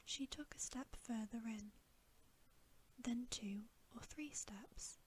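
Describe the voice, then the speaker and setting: A woman reading a bedtime story aloud in a breathy, unvoiced ASMR whisper, gentle and soothing. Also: on the high side, takes it slowly. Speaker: ASMR reader.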